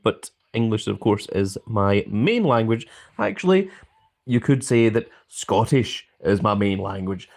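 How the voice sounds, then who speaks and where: A man's voice: mid-range voice, a little mellow. A young man live-streaming a mobile game, chatting casually and reading viewers' comments aloud with frequent ums.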